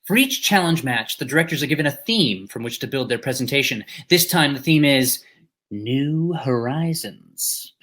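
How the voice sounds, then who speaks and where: A man's voice: mid-range voice, animated, normal speed, fairly bright tone, soft background music. A man presenting a competition show in a scripted, theatrical, mock-formal and wordy manner.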